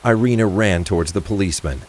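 A man's voice: sits low, fast talker. A man reading scripted narration for a crime thriller audio drama.

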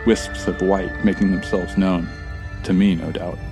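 A man's voice: deep voice, little pitch movement, a little slow. An actor with an American accent playing a wary, stranded man who narrates in the first person, wry and uneasy. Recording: guitar music behind the voice.

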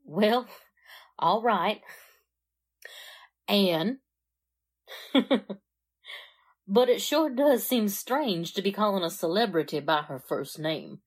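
A woman's voice: medium pitch, animated, slow, pauses often. A woman acting a chatty, flustered, homey small-town mother in a 1940s-style radio drama. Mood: hospitable. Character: fussy, starstruck small-town mother and hostess.

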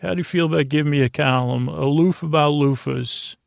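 A man with a creaky voice hosting a bedtime podcast in a lulling, friendly way, meandering and halting through tangents. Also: on the high side, no room sound, studio quality. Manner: meandering, halting, tangent-prone, self-interrupting. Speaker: podcast host. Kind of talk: rambling bedtime monologue to help listeners sleep.

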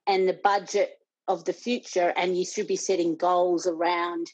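A woman's voice: on the low side, flat delivery, normal speed, darkish tone, dry recording. A middle-aged Australian woman, a parent and board member, speaking earnestly in an interview with many restarts. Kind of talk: conversational interview.

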